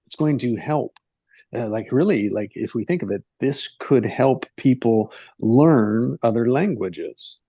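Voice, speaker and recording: Slow gravelly man with a mellow tone. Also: medium pitch, animated, no room sound. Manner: rambling, filler-heavy, gentle. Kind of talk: casual conversation.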